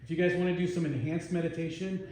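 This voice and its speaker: Male pastor, mellow warm tone, casual and self-deprecating, very echoey church recorded from a distance. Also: a little high, fairly even intonation, fast talker. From Netherlands. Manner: casual, self-deprecating, asks the congregation questions. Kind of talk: conversational sermon. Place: church sanctuary. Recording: echoey church hall from afar.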